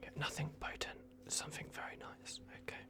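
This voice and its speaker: A performer voicing a soft-spoken, bumbling vampire doctor in an ASMR roleplay, whispering hesitantly and repeating reassurances. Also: brisk, lightly acted. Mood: calm, instructing. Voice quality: whispered, creaky. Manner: hushed, hesitant, repetitive, reassuring.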